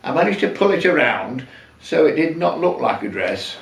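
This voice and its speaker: A man in his sixties from England reciting his own rhyming comic poem in a measured, theatrical storytelling way, leaning on the rhymes. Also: fairly high pitch, big pitch swings, creaky. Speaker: amateur poet reading own work. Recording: roomy echo, distant microphone.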